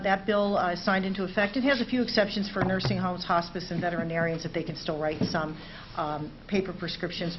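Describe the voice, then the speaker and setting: Woman with a low voice, many fillers. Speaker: state legislator addressing city council. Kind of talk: formal public remarks. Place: city council chamber. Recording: slightly dull meeting recording, faint hiss.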